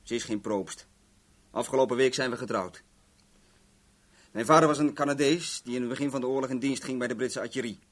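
An actor playing a cocky, talkative young garage owner in a Dutch radio play, rambling and self-assured. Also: male voice, medium pitch, fairly quick, long pauses, natural voice. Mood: breezy, chatty.

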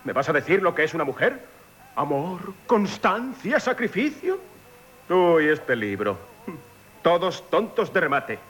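An actor playing an irascible, woman-hating, gruff gentleman with a Castilian Spanish accent in a theatrical radio play. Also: a little high, very animated, a little slow. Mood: scornful. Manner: blustering, exclamatory, theatrical. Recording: faintly noisy 1970s radio drama recording.

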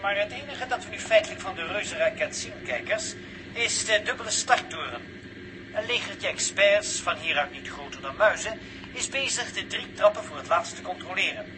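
An actor playing a male television reporter giving animated launch commentary to viewers in a 1960s radio drama. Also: high-pitched, normal speed. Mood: excited, solemn. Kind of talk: 1960s broadcast commentary acting. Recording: noisy old radio recording.

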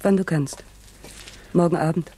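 A woman's voice: lowish voice, steady tone, unhurried, dark tone, a little hiss or hum, lightly acted. An actress playing a cautious, reproachful young woman, composed and admonishing, in a 1960s German radio drama. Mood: cautious, calmer.

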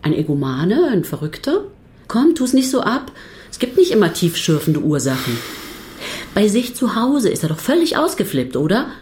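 An actress playing a skeptical, needling partner, blunt and teasing, in radio drama dialogue. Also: female voice, mid-range voice, very animated, normal speed, a little mellow, lightly acted. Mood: probing, dismissive. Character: skeptical, needling woman close to the writer.

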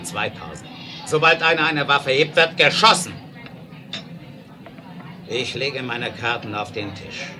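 An actor playing a hot-tempered, bullying railroad boss in a 1970s German audio drama, speaking in a commanding, emphatic way. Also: male voice, mid-range voice, close to an everyday voice. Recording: noisy 1970s radio play record.

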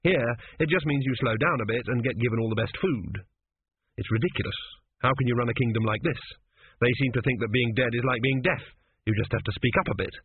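A man's voice: mid-range voice, a little fast, slightly rough. A man voicing an earnest, flustered young king with a raised, eager tone. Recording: tinny narrow-band audio.

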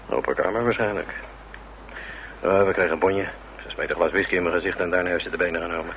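A man playing a brash, cynical band musician in a radio drama, talking in a gruff, slangy, dismissive way. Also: deep voice, steady tone, fast, fairly bright tone. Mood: offhand. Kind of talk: Dutch radio drama acting. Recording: muffled, noisy old radio drama recording.

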